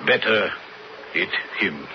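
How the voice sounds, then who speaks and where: Slow, solemn western tribesman. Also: low-pitched, lively intonation. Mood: solemn, grave. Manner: measured, stilted formal phrasing. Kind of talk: radio western acting. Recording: dull, noisy old radio broadcast.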